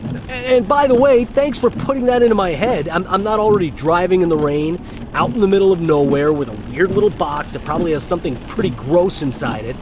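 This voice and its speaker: An actor playing a young delivery driver, a nervous, halting, chatty man on a phone call in an audio drama. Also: high voice, expressive. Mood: incredulous, jittery. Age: young adult. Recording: clear vehicle and outdoor ambience noise.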